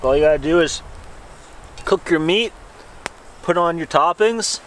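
A man's voice: a little high, a little slow, fairly bright tone. A young man bantering to camera while cooking over a campfire in snowy woods, recorded outdoors. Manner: joking, laid-back banter to camera. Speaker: outdoor vlogger. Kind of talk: casual camp cooking vlog. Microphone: camera a few feet away. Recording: outdoor recording with clear background noise.

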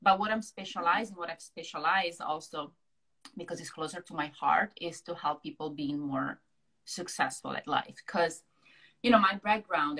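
A woman's voice: on the low side, bright, forceful tone, poor audio. A woman in her thirties from Italy with an Italian accent, talking expressively as a guest on a video call interview, peppering her talk with "you know" and "right". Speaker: guest, hypnotherapist and success coach. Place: small room at home. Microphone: video call, laptop or webcam mic.

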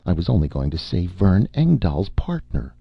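A man voicing a poised, sly young woman making a pushy business offer, with a husky, gravelly edge. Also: deep voice, moderate pace, dry recording, pitched above the performer's own voice, heavily acted. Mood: cool, persuasive. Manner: calm, coaxing, sly. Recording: dull lo-fi recording.

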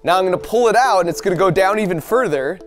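A man hosting a hands-on science demonstration for children, speaking excitedly and asking leading questions. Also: sits high, fast talker, soft background music. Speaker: host and science presenter. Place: indoor set dressed as a summer camp.

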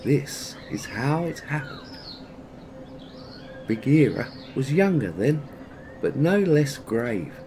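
Slow-paced male storyteller, noisy recording. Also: mid-range voice, sing-song. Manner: measured, dramatic pauses. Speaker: storyteller, channel host. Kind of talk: expressive children's story narration.

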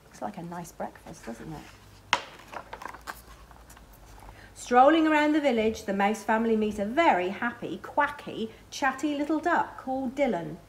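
A middle-aged woman reading a picture book aloud to children, expressively, pausing to point out the pictures. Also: a little high, expressive, background noise. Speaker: library storyteller. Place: small library children's corner, indoors.

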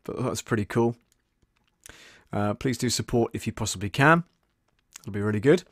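A man's voice: lowish voice, moderate pace, no room sound. A man in his fifties chatting informally and casually as he hosts a tutorial for viewers, close on a desk microphone. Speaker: audio producer and live-stream host. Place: small soundproofed home studio in a converted shed. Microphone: desk condenser microphone, close.

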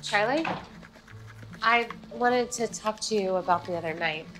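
A woman's voice: mid-range voice, unhurried, over music, close to an everyday voice, low-quality recording. A woman playing a brash, crude, blunt woman in a sitcom. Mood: flat. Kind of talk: sitcom acting.

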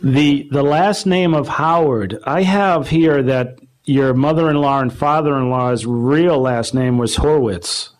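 A man hosting a live interview, asking questions in a halting, conversational way. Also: mid-range voice, poor audio. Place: club meeting before an audience.

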